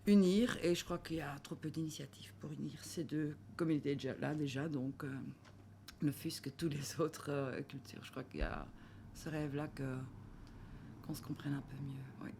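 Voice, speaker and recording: Woman, gravelly voice. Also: deep voice, fairly even intonation, moderate pace, warm tone, slight background noise. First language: Dutch. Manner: searching for French words, laughing often. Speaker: film director guest. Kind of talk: casual interview chat.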